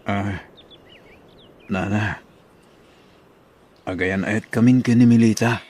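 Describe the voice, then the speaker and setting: Man acting shy, husky young suitor. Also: on the low side, fairly even intonation, slow, darkish tone. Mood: nervous. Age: young adult. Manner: hesitant, polite. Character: shy, humble young Filipino suitor and student. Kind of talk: Ilocano audio drama acting.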